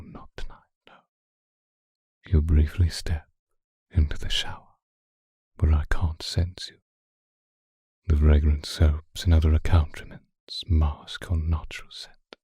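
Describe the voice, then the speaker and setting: A man playing an obsessive, seductive vampire in an intimate, hushed ASMR roleplay, speaking deliberately and often whispering close to the microphone. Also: no echo, exaggerated voice. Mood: brooding, intimate. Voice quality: very deep, breathy, husky, often whispering. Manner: slow, deliberate, hushed, formal wording. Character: seductive male vampire stalker, obsessive and predatory. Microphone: close microphone, dry sound.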